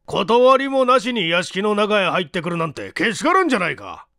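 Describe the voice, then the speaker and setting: A man voicing a gruff, blustering, eccentric older professor in an audiobook mystery story. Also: high-pitched, very animated, fairly quick, sharp tone, no room sound, studio quality, pitched above the performer's own voice, full character voice. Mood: irritable. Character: cantankerous, eccentric older male professor who scolds. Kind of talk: audiobook character acting in Japanese mystery story.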